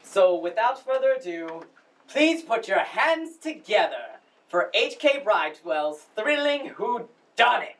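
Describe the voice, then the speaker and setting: A woman's voice: mid-range voice, big pitch swings, slow. A woman playing a pompous, earnest drama society director giving a formal comic welcome speech on stage. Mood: awkwardly earnest. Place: school showcase stage, recorded from the audience. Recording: noisy, distant stage recording with clicks.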